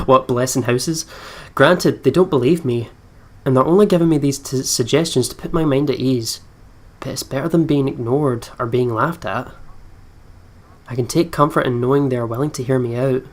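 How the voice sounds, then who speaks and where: A man hosting a gaming video, reading story text aloud and thinking out loud in a chatty way. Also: mid-range voice, normal speed.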